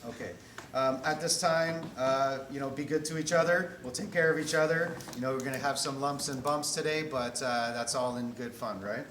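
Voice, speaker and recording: A man's voice: mid-range voice, moderate pace. A man in his forties giving an informal rules briefing to a group as an event organizer, easygoing and often checking in with short tag questions. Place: large echoey indoor training hall with mats. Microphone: distant camera microphone. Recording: echoey room, distant mic, loud background noise.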